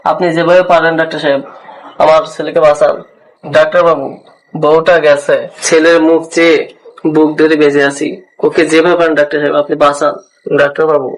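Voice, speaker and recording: A man's voice: on the high side, flat delivery, brisk, lightly acted, rough recording. A man playing a desperate, grieving village father in a horror drama, plaintive and pleading. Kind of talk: horror audio drama acting.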